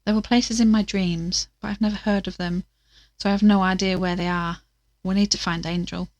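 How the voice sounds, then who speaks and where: A woman's voice: mid-range voice, steady tone, a little fast, darkish tone, no echo, natural voice. A woman playing an anxious, earnest character caught up in a cult mystery, with a hesitant delivery, in an audio drama. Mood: urgent, worried.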